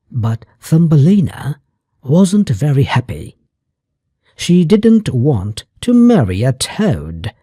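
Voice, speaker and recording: A storyteller reading a children's fairy tale aloud for English learners, in deliberate chunks with pauses and an exaggerated rise and fall. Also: mid-range voice, mellow, slightly rough, no echo, studio quality.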